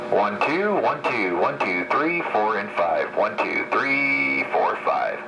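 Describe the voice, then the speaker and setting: Casting: male radio tester, slow delivery with a swinging low-to-high pitch, echoey radio sound. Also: very animated, creaky. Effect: heard through a radio, some echo. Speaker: radio technician testing audio. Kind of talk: slow microphone test counting. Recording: echoey, noisy radio monitor playback.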